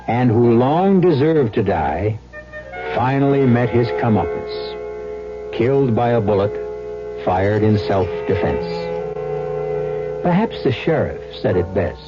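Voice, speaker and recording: A man hosting a 1970s radio drama, narrating in a grave, portentous, theatrical way. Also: mid-range voice. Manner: grave, portentous, rhetorical questions. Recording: dull lo-fi old radio broadcast.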